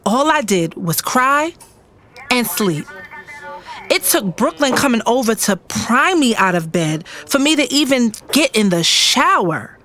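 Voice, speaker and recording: A grieving narrator in an audio drama. Her slightly high voice takes its time, telling her heartbreak in the first person. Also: sing-song, measured pace, a bit bright. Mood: heartbroken. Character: heartbroken young woman recounting weeks of grief.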